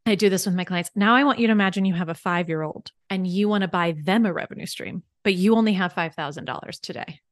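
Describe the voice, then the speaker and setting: A woman's voice: on the low side, quick pace, hardly any pauses, no echo, clean studio sound. A woman financial coach, a podcast guest, who explains things eagerly and step by step with worked examples. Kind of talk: casual podcast interview.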